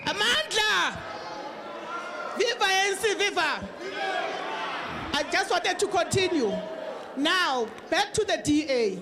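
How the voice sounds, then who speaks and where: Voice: very high voice, lively intonation, slow, long pauses. A political representative with a South African accent speaking emphatically and combatively in a public debate. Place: large public town hall event. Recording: crowd noise at live event.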